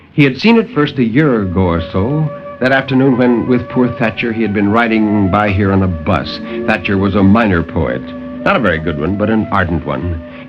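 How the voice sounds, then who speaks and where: Theatrical male radio storyteller, very expressive. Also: lowish voice. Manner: theatrical, literary. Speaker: storyteller, co-host. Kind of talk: dramatic 1950s radio story reading. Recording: muffled 1950s radio recording.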